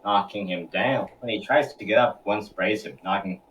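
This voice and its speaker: A man's voice: lowish voice, moderate pace, a bit bright, far from the mic, highs cut off, a little hiss or hum. A man reading scripted narration for a table read, now and then stumbling over his words.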